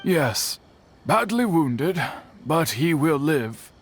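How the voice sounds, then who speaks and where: A slow, lively-toned smithy elder. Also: male voice, fairly high pitch, faint music behind, exaggerated voice. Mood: thoughtful. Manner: slow, thoughtful, expressive.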